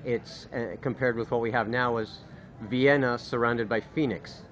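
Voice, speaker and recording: A man in the audience poses a question to a panel, building up to it at a measured pace. His voice sits in a middle range. The sound is a little dull with some background noise. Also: mid-range voice, fairly even intonation, a bit bright. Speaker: audience member asking a question.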